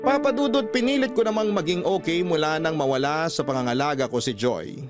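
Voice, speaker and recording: A man's voice: on the high side, fairly quick. A man with a Filipino accent narrating a scripted, sentimental letter as a radio storyteller. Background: background music.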